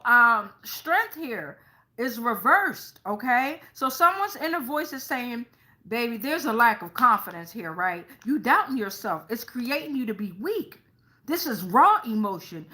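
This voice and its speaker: High, bright-voiced woman reading tarot. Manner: colloquial, swearing, frequent check-in tags like okay and right. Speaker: host, tarot reader. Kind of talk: improvised tarot reading addressed to online viewers.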